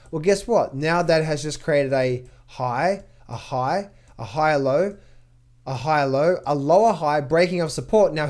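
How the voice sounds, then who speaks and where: A man working as a trading coach gives an emphatic market update over charts, firing rhetorical questions, answering them himself and repeating words in quick bursts. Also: a little high, darkish tone.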